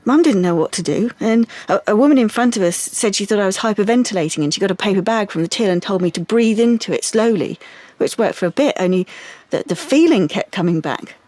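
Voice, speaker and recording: An actress playing an anxious, wounded young woman in an audio drama, confiding haltingly and stumbling over her words. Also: mid-range voice, expressive, a little mellow, no echo, lightly acted. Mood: shaken, recounting. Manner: halting, confiding, with stammered restarts. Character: anxious, wounded young woman artist, defensive about privacy. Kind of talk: audio drama monologue and dialogue.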